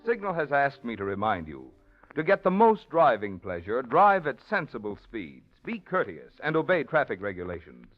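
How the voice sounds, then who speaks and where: A man's voice: medium pitch, sing-song, moderate pace. A male radio announcer reading sponsor messages and credits in a polished, persuasive 1940s style. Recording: dull old radio recording with music.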